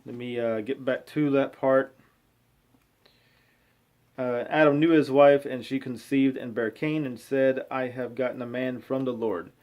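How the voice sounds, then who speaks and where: A man in his thirties reading aloud and commenting casually, with an informal, halting delivery and frequent self-corrections. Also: medium pitch, fairly even intonation, highs cut off. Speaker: vlogger reading and commenting on scripture. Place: small room at home. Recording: clearly noisy amateur home recording.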